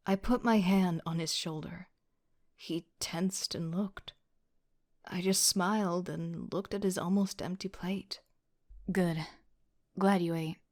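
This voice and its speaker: A woman's voice: lowish voice, fairly even intonation, takes it slowly, pauses often, darkish tone. A woman playing a wry, casual young student team leader who narrates an audio drama in the first person with sardonic asides. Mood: wry, relaxed. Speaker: voice actor.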